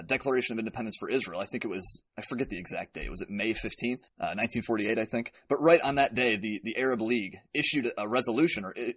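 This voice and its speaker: A man's voice: medium pitch, fairly bright tone, husky, no room sound. A man speaking as a podcast guest, explaining history in an earnest, detailed way. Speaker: podcast guest, political commentator. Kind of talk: explanatory interview talk on history.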